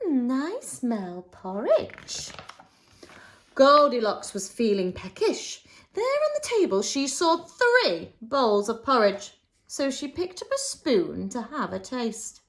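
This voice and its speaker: Slow, expressive woman reading to children. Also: high-pitched. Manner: dramatic emphasis and pauses for young children. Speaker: infant school teacher.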